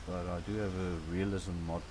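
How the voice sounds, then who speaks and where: A man with a South African accent, a native Afrikaans speaker, giving a relaxed, rambling gameplay tutorial with casual asides. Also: deep voice, moderate pace, noisy background. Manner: relaxed, rambling and chatty, with fillers and light grumbling. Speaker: gaming channel host.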